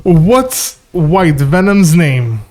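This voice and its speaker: A man's voice: a little high, sing-song, extremely slow, a little mellow. A man with a Maltese accent co-hosting a podcast in casual, slangy chat, often repeating himself for emphasis. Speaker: podcast co-host.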